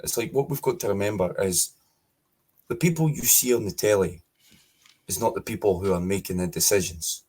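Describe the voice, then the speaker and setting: A Scottish man speaking forcefully as a guest in a political interview, repeating his points with emphasis. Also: lowish voice, normal speed, husky. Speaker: podcast guest.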